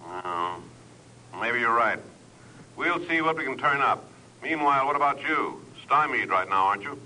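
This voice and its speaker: A brisk, skeptical bureau chief in a 1950s radio drama. His very sharp, forceful voice comes down a telephone line with faint noise. He sounds businesslike and dismissive. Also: medium pitch, lively intonation. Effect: telephone filter. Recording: thin, noisy old radio phone line.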